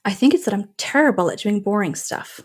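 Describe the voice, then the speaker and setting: A woman money coach, a native Norwegian speaker, talking as a guest in a casual podcast interview, lively and playful, often acting out little scenes. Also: mid-range voice, brisk.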